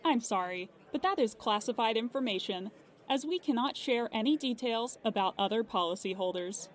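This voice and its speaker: A woman plays a customer service agent in an audio drama with a notably high voice. She is formal, polite and unflappable, measuring out each phrase as if following procedure. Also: expressive, moderate pace, natural voice. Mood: guarded. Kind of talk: audio drama acting, scripted phone service.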